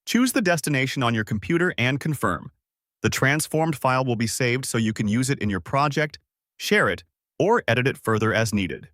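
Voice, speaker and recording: Man narrating a tutorial, lively intonation, dry sound. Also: medium pitch, dry recording. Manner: instructional, orderly, explanatory. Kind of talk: scripted step-by-step software tutorial narration.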